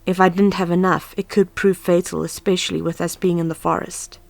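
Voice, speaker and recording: A woman's voice: lowish voice, little pitch movement, a little fast, creaky, no echo. A woman playing an earnest, anxious but composed young huntress in a fan audio drama. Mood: earnest, instructive. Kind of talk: fan audio drama, first-person narration and dialogue. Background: background music.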